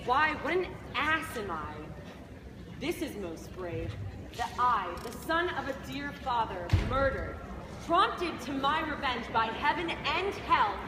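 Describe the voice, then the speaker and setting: A woman's voice: high voice, lively intonation. A young woman performing a bitterly self-mocking prince in a projected Shakespearean stage monologue. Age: teenager. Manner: deliberate, projected. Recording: noisy echoey courtyard.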